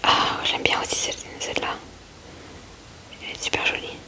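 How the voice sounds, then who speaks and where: A woman whispers breathily and gently into a close microphone, murmuring short informal remarks as ASMR commentary. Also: moderate pace. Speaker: ASMR video creator.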